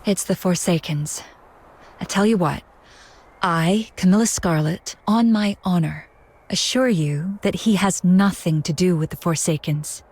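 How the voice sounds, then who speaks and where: A woman voicing a shrewd, composed female tavern keeper in a video game, smooth-talking and self-assured. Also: on the low side, measured pace, a little mellow. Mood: assured, persuasive.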